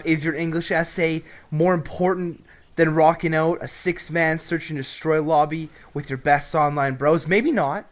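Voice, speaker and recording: A man's voice: a little high, a bit bright. A young man hosting a casual advice show, brash and joking, with some swearing. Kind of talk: casual advice commentary over gameplay.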